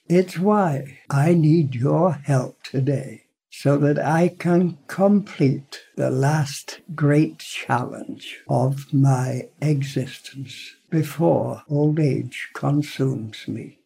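A man in his nineties from Britain, speaking gravely and haltingly in a clip played from his podcast. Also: on the high side, dark tone. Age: 90s. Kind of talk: solemn appeal.

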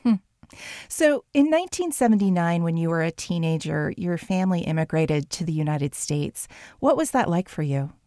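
A woman hosting a radio show, reading a scripted introduction and asking interview questions in a measured way. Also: on the low side, big pitch swings, mellow, no room sound, clean studio sound.

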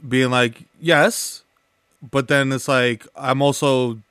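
A man's voice: mid-range voice, steady tone, takes it slowly, fairly bright tone, smooth, no room sound, studio quality. A man from the Bronx in his thirties or forties with a New York accent, Spanish his first language, talking casually as a guest and laughing often, full of slang and fillers. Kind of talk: casual conversational interview.

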